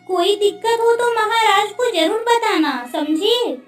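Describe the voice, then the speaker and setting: A woman's voice: extremely high pitch, unhurried, reverberant, recorded from a distance, faint music behind. A woman voicing a tender, regal queen mother in a cartoon story, emotive and commanding. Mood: concerned. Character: tender, regal queen mother, grieving for her lost daughter. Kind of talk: Hindi cartoon story dialogue.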